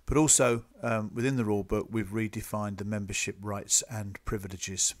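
A man presenting club business to members in a measured, businesslike, explanatory way, partly from a script. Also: on the low side, level tone. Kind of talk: slide presentation, semi-scripted explanatory talk.

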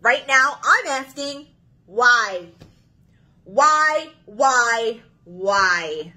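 A woman's voice: fairly high pitch, lively intonation, sharp tone, exaggerated voice. A woman voicing an indignant, whiny pigeon from a picture book, complaining dramatically. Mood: pleading, indignant. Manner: dramatic, exclaiming, drawn-out complaints.

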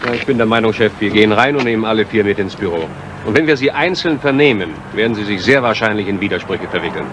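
Actor as lowish-voiced, businesslike detective. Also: male voice, fast talker, noisy background, lightly acted. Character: pointed, businesslike police detective, the inspector's assistant. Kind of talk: 1970s German radio crime drama acting.

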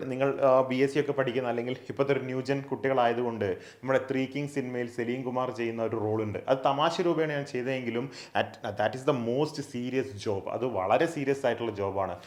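A young man teaching an exam prep lesson. He asks questions and answers them himself, and he keeps adding quick tag questions. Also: mid-range voice. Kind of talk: explainer lesson on an exam, mixing English terms. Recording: echoey room.

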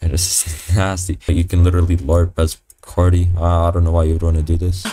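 A young man giving a casual software tutorial as a voice-over, talking in an informal, slangy way and swearing. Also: deep voice, flat delivery, a little fast, slight background noise. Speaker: tutorial presenter. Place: voice-over on a computer screen recording.

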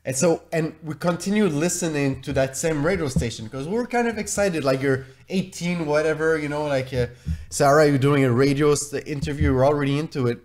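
A man's voice: a little high, fairly quick, darkish tone. A man co-hosting a podcast, telling an anecdote casually in a rambling way and repeating himself. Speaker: podcast co-host.

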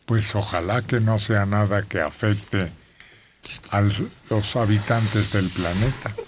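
An older man from Mexico reading news notes slowly at a radio studio table. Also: lowish voice, steady tone, noisy background. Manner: slow, deliberate reading from paper. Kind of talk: reading news items on air. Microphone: desk microphone.

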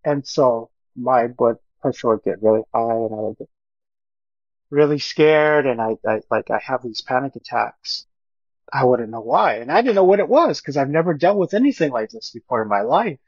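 A middle-aged man with a gravelly, creaky voice gives a personal testimony as a podcast guest. He speaks earnestly and haltingly, circling back over his phrases. The recording is dry and close, with a slightly muffled top end. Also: medium pitch, animated, dry recording.

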